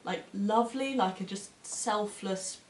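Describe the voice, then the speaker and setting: A woman's voice: medium pitch, measured pace, reverberant, a little hiss or hum. A woman co-hosting a casual book chat, speaking in an enthusiastic, conversational way as she gives recommendations. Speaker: book reviewer co-hosting short fiction recommendations.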